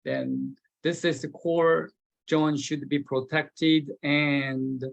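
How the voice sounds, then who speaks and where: A man with a Korean accent, a native Korean speaker, presenting slides on a webinar in a halting way with many fillers and restarts. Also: on the high side, steady tone, gravelly, muffled recording. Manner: hesitant, many fillers and restarts, broken phrasing. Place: remote, speaking from his location.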